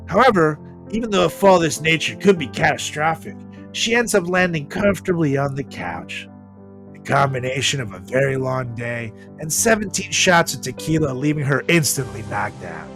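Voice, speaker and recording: A man podcast host narrating a comedic story with dramatic pauses, over background music. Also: fairly high pitch, a bit bright. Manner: dramatic pauses, drawn-out delivery.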